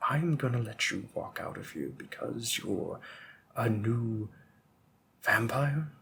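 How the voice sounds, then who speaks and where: A man performs an ancient, refined male vampire in an audio roleplay. He speaks slowly and deliberately at a medium pitch, condescending and pedantic, with the menace of a protective husband. There is faint noise behind the voice. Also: vocal fry, pushed and bright, exaggerated voice. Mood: cold menace. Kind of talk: scripted roleplay monologue addressed to the listener.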